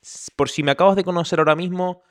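A man's voice: high voice, steady tone, fast talker. A young man from the Canary Islands with a Canarian Spanish accent, hosting a casual podcast from home with chatty, joking asides. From Canary Islands, Spain. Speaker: podcast host. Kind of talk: casual chat. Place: at home, indoors.

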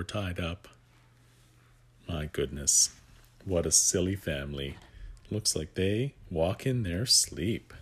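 Deep, unhurried man reading a picture book. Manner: gentle guiding asides to the child listener. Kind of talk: read-aloud storytelling for young children.

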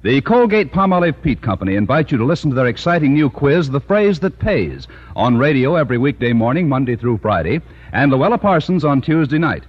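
A man announcing a 1950s radio program in a formal, measured style, on an old broadcast recording. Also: medium pitch, very animated, husky, muffled recording. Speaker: show announcer.

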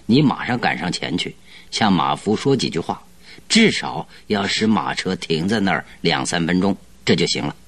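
An actor playing a shrewd, secretive male detective in a radio drama, with a husky, unhurried, coaxing delivery. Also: deep voice, very animated, fairly bright tone, exaggerated voice. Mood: calm, scheming. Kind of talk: Mandarin radio drama acting.

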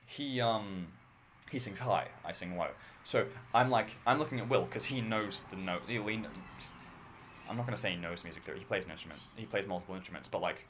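A teenage boy with a low bass voice, chatting casually as podcast co-host, rambling and talking in bursts. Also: a little fast, a bit bright, a little hiss or hum. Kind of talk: casual chat.

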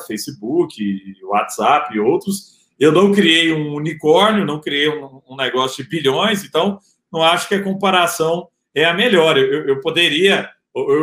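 A man in his thirties with a Rio de Janeiro accent talks loosely and casually, full of slang and fillers. His voice sits a bit high for a man, and the room around him echoes. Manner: relaxed slangy talk with filler words. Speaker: entrepreneur guest. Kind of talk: casual interview storytelling.

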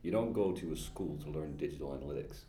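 A man, a panelist in a discussion, talking in a measured way and thinking aloud. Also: very deep voice, dark tone, roomy sound, slight background noise. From Netherlands. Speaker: panelist, freelance digital analyst. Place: meeting room.

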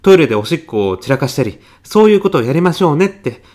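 A man playing a polite, glib, persuasive salesman pitching to housewives in a comedic radio sketch. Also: very animated, fast talker, darkish tone. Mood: reassuring, persuasive.

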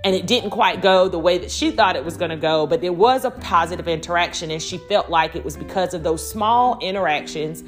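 Low-pitched woman, chatty podcast host. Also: a little fast, few pauses, soft background music. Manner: chatty, animated storytelling with quoted asides. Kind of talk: conversational solo podcast monologue.

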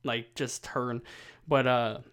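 Smooth-voiced man joking on a podcast. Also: mid-range voice, flat delivery, takes it slowly, a bit bright. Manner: joking, self-deprecating, often restarts. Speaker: podcast co-host. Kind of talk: casual chat.

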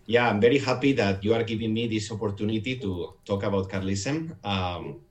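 A man's voice: lowish voice, a little fast. A man from Navarre with a Spanish accent, a guest in an interview, polite and hesitant with many fillers. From Navarre, Spain. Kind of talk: casual interview.

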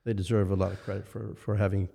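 An older man, a minister, recalling ministry history in a casual interview, speaking haltingly and repeating phrases for weight. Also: fairly low pitch, level tone, gravelly, dark tone, breathy edge. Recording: long reverberant hall echo.

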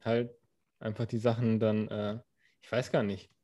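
A man's voice: on the low side, little pitch movement, unhurried, dark tone, lo-fi sound. A young man co-hosting a podcast, talking in a loose, rambling way with many fillers and restarts. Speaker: podcast co-host. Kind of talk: casual chat.

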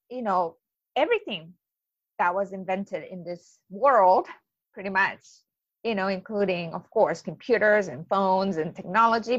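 Japanese-accented woman coach, slow and halting. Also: mid-range voice, dry recording, lo-fi sound. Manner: hesitant, many ums, enthusiastic. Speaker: life coach presenter. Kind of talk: webinar presentation.

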